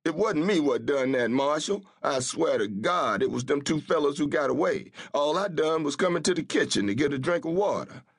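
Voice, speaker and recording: Male narrator playing a frightened suspect, high and husky, desperate and begging. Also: very animated, normal speed, dry recording, clean studio sound. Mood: scared. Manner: desperate, begging, broken grammar. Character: frightened pleading middle-aged man.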